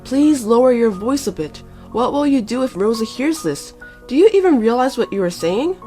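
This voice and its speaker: A woman's voice: fairly low pitch, normal speed, a little mellow, faint music behind, lightly acted. A woman voicing an earnest wronged wife and mother in a story, firm and controlled. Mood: exasperated. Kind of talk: dramatised story reading.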